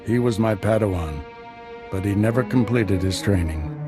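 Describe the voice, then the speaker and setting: A grave middle-aged Jedi mentor in a video game cutscene. His low voice is stern, measured and used to giving orders, with prominent music behind it. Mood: grave, troubled.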